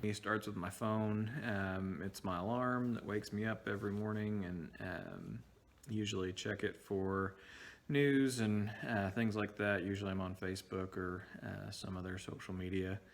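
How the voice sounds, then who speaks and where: A man's voice: on the low side, steady tone, normal speed, gravelly. A man in his twenties answering interview questions plainly, with lots of fillers. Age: 20s. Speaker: focus group participant.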